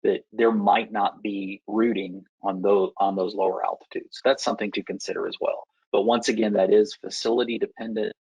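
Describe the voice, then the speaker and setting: An American man working as an air traffic controller, explaining procedures in a measured, instructive way with deliberate pauses. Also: lowish voice, steady tone, vocal fry, darkish tone, breathy edge, dry recording, dull audio. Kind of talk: explanatory Q&A talk to pilots.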